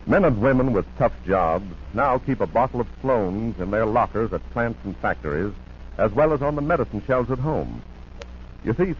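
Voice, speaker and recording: A man announcing in a 1940s radio advertising style, with an emphatic, polished sales delivery. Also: medium pitch. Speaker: commercial announcer. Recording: muffled noisy 1940s radio recording.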